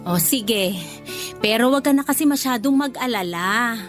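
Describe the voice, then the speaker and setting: Woman as elderly motherly nanny, high pitch, tender, reassuring, uncomplaining, radio drama, faint music behind. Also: lively intonation, moderate pace, natural voice. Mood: gentle reassurance. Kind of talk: Filipino radio drama acting.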